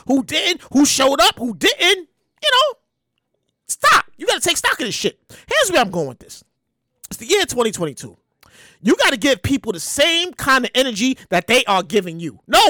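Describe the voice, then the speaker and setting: New podcast host riffing solo, bright high voice, dry close sound. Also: no echo. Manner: animated, slangy, lots of repeats. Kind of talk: casual comedic monologue.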